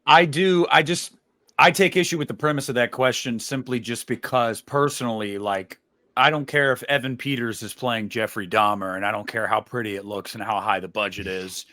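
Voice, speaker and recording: Male panelist, average pitch, blunt and opinionated, conversational with punchy emphasis. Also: moderate pace, pushed and bright. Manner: emphatic, blunt, acts out imagined quotes. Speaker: podcast panelist. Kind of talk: casual opinionated debate.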